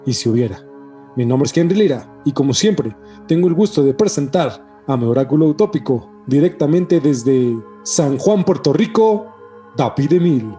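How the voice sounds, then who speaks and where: A man's voice: a little high, expressive, normal speed, dark tone, soft background music. A man with a Mexican accent, hosting and chatting casually on a podcast. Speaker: podcast host. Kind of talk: casual podcast chat with announced intro.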